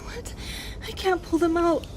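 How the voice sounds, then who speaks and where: A woman playing an anguished, remorseful female medusa in an intimate audio roleplay, tender and fragile, with a halting, emotional, pleading delivery. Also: extremely high pitch, background noise. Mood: distraught, panicked.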